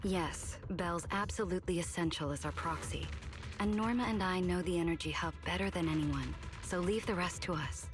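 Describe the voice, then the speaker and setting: A woman's voice: lowish voice, close to an everyday voice. An actress voicing a composed female leader directing a team in a game dub. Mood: calm. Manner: calm, measured, in charge. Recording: game audio over loud music.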